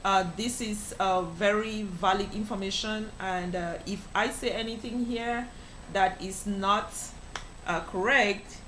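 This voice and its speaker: A woman's voice: medium pitch, steady tone, a little slow, a bit bright, slight background noise, low-quality recording. A woman from Cameroon, a financial professional, answering interview questions in an earnest, explanatory way with many fillers.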